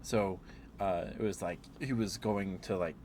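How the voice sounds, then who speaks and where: A man with a low-pitched voice chats in a casual ASMR style. He meanders through stories, halting and circling back as he goes. There is clearly audible background noise behind him. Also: steady tone, a little mellow. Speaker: ASMR streamer. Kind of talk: rambling casual ASMR chat.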